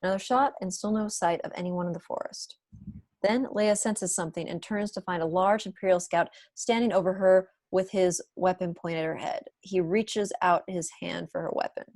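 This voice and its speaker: Woman reading stage directions, lowish voice, pretty dry close sound. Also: steady tone, moderate pace, a little mellow, no room sound. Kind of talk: scripted narration at a table read. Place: indoor room at home, video call.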